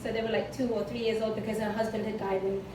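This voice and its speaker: A woman's voice: mid-range voice, level tone, fast. Chatty British woman in an echoey room. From United Kingdom. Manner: chatty and candid, frequent fillers, light laughs. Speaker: speaker giving a talk with slides. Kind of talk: conference talk, personal story. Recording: echoey room, distant mic, background noise.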